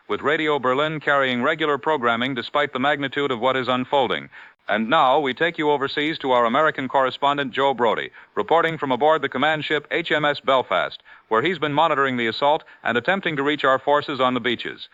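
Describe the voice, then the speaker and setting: A dull, dry vintage radio recording carries a composed American news anchor of the 1940s, acted by a man. His delivery is formal and measured, with a bright, forceful edge, as in a wartime radio drama. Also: mid-range voice, no room sound, close to an everyday voice. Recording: muffled vintage radio sound.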